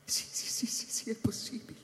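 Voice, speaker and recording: An actor playing an anguished, feverish space-station scientist in a radio drama, stammering repetitions and swelling into theatrical declamation. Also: male voice, normal speed, in a whisper, lightly acted. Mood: agitated, muttering. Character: Kris, anguished, feverish male space-station scientist musing on an imperfect God. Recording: echoey hall reverb under clear music. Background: live electronic and flute music.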